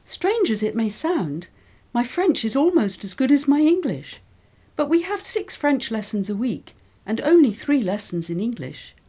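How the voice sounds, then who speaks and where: A woman reads scripted lesson passages aloud in a mellow, warm tone that sits a little high. Her delivery is clear and careful, and her pitch rises and falls with lively movement as she works through each sentence. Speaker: reader of English learning texts. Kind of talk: scripted reading of model texts.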